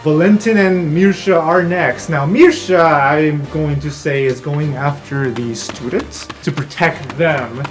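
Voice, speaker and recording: A man's voice: on the high side, a little slow, hardly any pauses. A man improvising vivid, descriptive narration as game master of a tabletop fantasy game. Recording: music playing behind the voice. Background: music.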